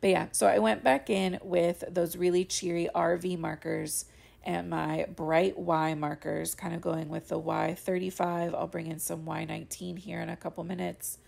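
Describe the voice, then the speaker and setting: A young woman talks through her craft tutorial in a loose, rambling voiceover. Her voice sits low and carries a heavy gravelly fry. She sounds stuffy and congested, with a slight crack at times. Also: flat delivery, moderate pace, darkish tone. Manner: chatty, rambling with fillers. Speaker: card-making channel host. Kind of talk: casual voiceover of a coloring tutorial. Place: home studio voiceover.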